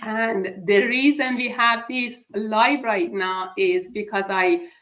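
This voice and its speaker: A woman's voice: mid-range voice, fairly even intonation, pushed and bright. A middle-aged woman from Iran hosting a live chat, speaking hesitantly with many fillers. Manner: slow, hesitant, frequent fillers. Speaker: host.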